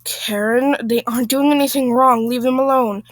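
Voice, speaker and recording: A woman's voice: high voice, fairly even intonation, moderate pace, dry recording, lightly acted. A woman voicing a weary, embarrassed husband in a story, subdued and placating as he scolds his wife.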